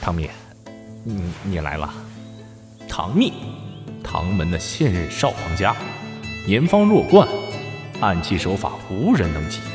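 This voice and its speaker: Flustered young prince regent, played by a man, wildly swooping lowish voice, stammering costume radio drama, reverberant with music. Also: big pitch swings, unhurried, long pauses. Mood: flustered, alarmed. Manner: stammering, theatrical, exasperated. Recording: echoey reverb with music behind.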